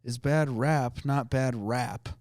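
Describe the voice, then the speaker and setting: A man's voice: mid-range voice, takes it slowly, darkish tone, dry recording, studio quality. A man co-hosting a comedy podcast, joking and laughing in loose banter. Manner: jokey, laughing, argumentative. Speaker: podcast co-host.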